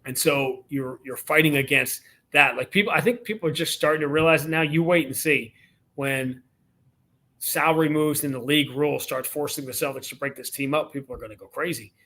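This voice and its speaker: Emphatic, higher-voiced sports announcer man. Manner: emphatic, animated analysis with quick restarts. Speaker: radio play-by-play announcer, guest. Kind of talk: casual sports interview.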